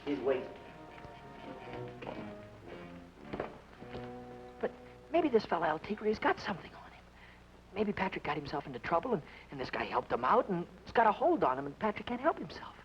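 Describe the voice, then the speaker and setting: A man's voice: high-pitched, sing-song, fast, faint music behind. An actor playing Denny, a timid and nervous young man who stammers, babbles and blurts things out, in 1940s radio-play style. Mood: hopeful. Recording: dull old radio recording with faint hiss.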